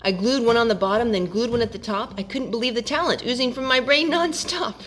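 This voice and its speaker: Expressive woman storyteller, higher-pitched voice, music behind. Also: fairly quick. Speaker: storytime host reading to children. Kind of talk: expressive rhyming storybook narration.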